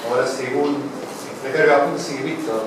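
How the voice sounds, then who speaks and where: A man moderates a gathering and introduces speakers in a slow, formal way. His voice is mid-pitched. He sounds distant, in a very reverberant space with clear background noise. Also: steady tone. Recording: echoey room, distant mic, clear noise.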